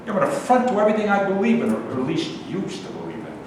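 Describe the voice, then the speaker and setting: A man in a staged play reading, playing a bitter, nostalgic, judgmental city loner who rants haltingly. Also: high voice, huge pitch swings. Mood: bitter, grumbling. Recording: very echoey hall, distant mic, faint noise.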